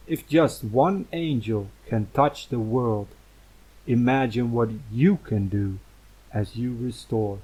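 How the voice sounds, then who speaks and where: Slow, mellow man reciting poetry. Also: medium pitch, slightly rough, low-quality recording. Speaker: poem reader.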